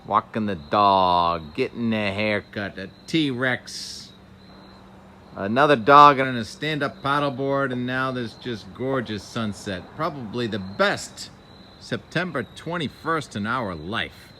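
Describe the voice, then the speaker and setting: A man's voice: medium pitch, slow pace, pushed and bright. A man vlogging casually outdoors on a phone, musing aloud about the sky and weather in short, enthusiastic remarks. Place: outdoors, beach and waterside. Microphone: handheld phone. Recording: clear outdoor ambient and vehicle noise.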